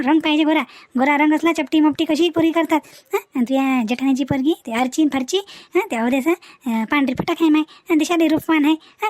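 Woman voicing a fast, high-pitched village matchmaker aunt. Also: a little mellow, no echo, natural voice. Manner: chatty, colloquial, repetitive, insistent. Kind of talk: Marathi comedy cartoon dialogue.

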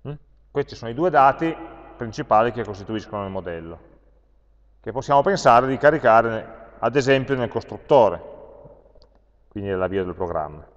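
An Italian man teaching a programming class, thinking aloud as he types code, with frequent pauses and fillers. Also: mid-range voice, lively intonation. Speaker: university lecturer. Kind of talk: live-coding programming lesson. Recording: dull lo-fi lecture capture with long hall reverb.

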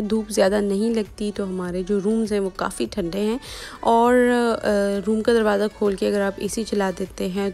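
A woman's voice: medium pitch, creaky, darkish tone. A young woman, narrating a home vlog in a casual, chatty style. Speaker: vlogger.

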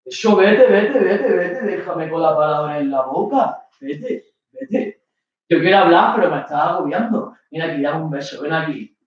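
A woman voicing an aggressive, controlling young boyfriend who shouts and bullies. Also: on the low side, lively intonation, moderate pace, voice pushed down, close to an everyday voice. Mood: dismissive. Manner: shouting, bullying, dismissive. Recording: echoey, distant, dull stage recording.

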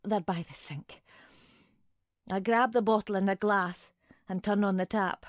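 An actress playing a suspicious, fretful older woman neighbour in an audio drama monologue, brooding in clipped, deliberate fragments. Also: mid-range voice, steady tone, no echo, studio quality, close to an everyday voice. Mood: suffering, fretful.

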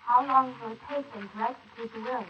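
An actress playing a brazen, impatient, scheming bride in a 1940s radio drama style on an old recording. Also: female voice, a little high, fairly even intonation, fast talker, lightly acted. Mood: smug, scheming. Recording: noisy muffled 1948 wire recording of transcription discs.

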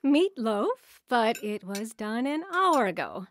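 High-voiced, slow-speaking worried mother. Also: big pitch swings, slight background noise. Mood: weary. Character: worried, weary housewife and mother of small children. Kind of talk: radio drama acting.

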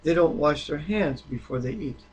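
A man reading the Bible aloud and discussing it informally, with a halting delivery that stumbles over words. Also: a little high, lively intonation, over a noisy crowd. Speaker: Bible reader.

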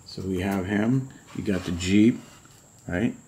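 Middle-aged man, very low voice, loose rambling show-and-tell, faint hiss behind. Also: takes it slowly, mellow. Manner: rambling, musing aloud, many fillers. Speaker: model kit collector showing books. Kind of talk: casual show-and-tell vlog. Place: home room full of model kit boxes.

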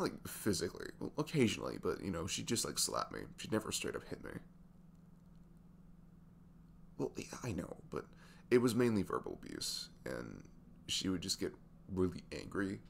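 A man playing a sweet, weary young single dad in an intimate ASMR roleplay, confiding in a halting, soft-spoken way with trailing fillers and a deep gravelly voice. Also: a little hiss or hum, heavily acted. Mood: hesitant, hurt. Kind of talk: intimate ASMR roleplay, hesitant conversational delivery.

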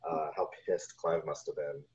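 Male interview guest, 40s, very deep voice, relaxed storytelling style, lo-fi call sound. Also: moderate pace. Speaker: guest, video game composer. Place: home room on a video call. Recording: dull lo-fi video call audio.

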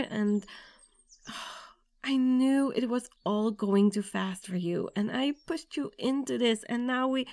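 A woman's voice: a little high, vocal fry, darkish tone, dry recording, studio quality. A woman playing a nervous, affectionate young bride-to-be in an ASMR girlfriend roleplay, with a tender, intimate delivery. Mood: anxious. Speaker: roleplay audio performer.

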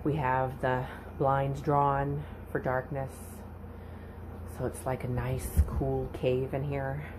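Brief: woman in her thirties, casual phone vlog, slow and sighing, faint room hiss. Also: a bass voice, little pitch movement. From California. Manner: slow, sighing, grumbling complaints. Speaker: vlogger. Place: parked truck cab, then dim home room. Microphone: phone at arm's length.

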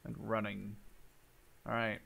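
A man's voice: on the low side, monotone, slow pace, creaky, fairly bright tone. A man in his twenties giving casual gameplay commentary, thinking aloud in an informal, sweary way. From Canada-based Dutchman. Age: 20s. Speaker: gaming video host. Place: indoors, voice over gameplay.

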